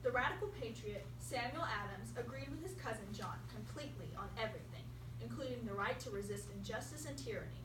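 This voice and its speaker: A woman's voice: a little high, expressive, quick pace. A young teenage girl reading scripted narration aloud for a classroom history play. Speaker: student narrator. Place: school classroom. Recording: echoey classroom, far from mic, noisy.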